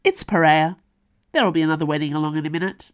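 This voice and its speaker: A woman voicing a sardonic young woman, a tavern keeper's daughter, with a brisk, mocking delivery. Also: low voice, clear, resonant tone, lo-fi sound, lightly acted. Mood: wry.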